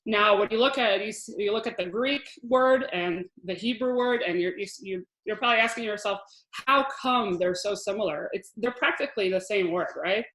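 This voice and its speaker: A woman in her thirties from Israel teaching a lesson over a laptop video call, explaining in a chatty way with lots of restarts and little laughs. Her voice sits at an ordinary middle pitch for a woman. The room around her is echoey. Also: brisk, a bit bright. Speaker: Hebrew teacher. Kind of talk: online lesson with shared slides.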